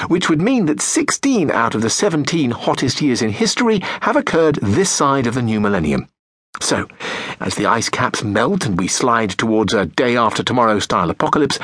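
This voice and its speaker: A British man narrating an audiobook in a sardonic, profane, deadpan comic style. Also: husky, no echo. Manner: lively, wide-ranging pitch. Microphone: close studio mic.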